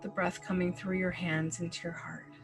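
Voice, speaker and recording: A woman leading a guided breathing meditation, speaking slowly and gently with long pauses and casual fillers. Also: fairly low pitch, flat delivery. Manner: slow, gentle, soothing instructions with long pauses. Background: soft background music.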